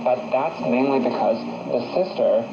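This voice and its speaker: A man's voice: medium pitch, normal speed, muffled recording. A man hosting a conversational radio quiz, explaining clues in a chatty way, heard over shortwave radio. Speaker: radio show host. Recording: noisy, band-limited shortwave radio reception.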